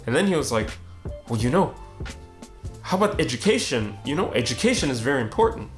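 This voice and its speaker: A man's voice: medium pitch, very animated, brisk, soft background music. A young man, a street photographer, telling a personal story in a casual, conversational way and acting out both sides of the dialogue. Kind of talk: reflective storytelling narration.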